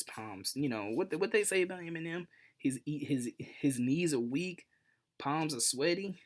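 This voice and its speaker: A man telling a personal story casually as a vlog host, in an informal, animated way with self-deprecating humor. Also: medium pitch. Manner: animated retelling, acts out dialogue. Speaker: vlogger, channel host.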